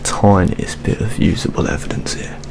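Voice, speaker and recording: A young man with a British accent playing a weary, fatherly police detective in a hushed, partly whispered ASMR roleplay. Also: normal speed, noisy background, lightly acted. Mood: hushed, businesslike. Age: young adult.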